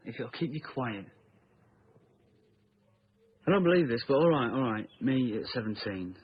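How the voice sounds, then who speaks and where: A man's voice: mid-range voice, animated, moderate pace, highs cut off, slight background noise, close to an everyday voice. A man playing a defensive, cynical young slacker with a British accent in naturalistic drama dialogue. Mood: reluctant, guarded.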